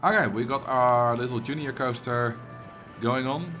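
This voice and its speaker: A man's voice: mid-range voice, measured pace, a bit bright. A man with a Dutch accent chatting casually with viewers on a livestream while he plays a game. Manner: chatty, rambling, answers viewers' messages. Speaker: streamer. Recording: game music playing behind.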